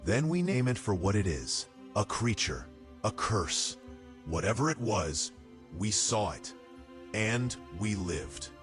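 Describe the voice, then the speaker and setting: A man playing a cautious, wry, level-headed soldier in a fantasy audio drama, speaking in a measured manner. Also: on the low side, big pitch swings, soft background music. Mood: grave.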